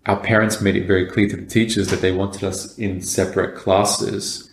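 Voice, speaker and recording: Young male co-host, very deep voice, roomy echo with light hiss behind. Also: little pitch movement, moderate pace, creaky. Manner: talks in long explanatory runs. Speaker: podcast co-host. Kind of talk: casual chat. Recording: echoey room with faint noise.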